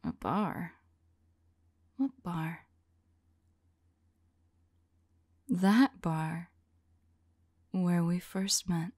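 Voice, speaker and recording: A woman playing a tender, devoted vampire lover in an intimate ASMR roleplay, speaking softly and affectionately, often in a whisper. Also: lowish voice, no room sound, clean studio sound. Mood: fond. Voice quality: soft, breathy, often whispering. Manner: slow, wistful, affectionate.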